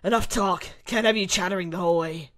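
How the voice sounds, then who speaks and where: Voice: mid-range voice, fairly even intonation, moderate pace, natural voice. A reader voicing a menacing, smug military man who sneers and commands in an amateur dramatic reading. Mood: curt, commanding. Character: menacing, smug male military villain and kidnapper.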